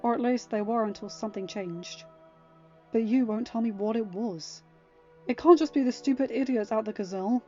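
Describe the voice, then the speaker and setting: A woman's voice: on the high side, moderate pace, mellow, natural voice. A woman voicing a blunt, stubborn young woman in an audiobook, a worried and bossy cousin who challenges. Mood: stubborn concern. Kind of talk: acted audiobook dialogue. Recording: dull lo-fi home recording, music underneath.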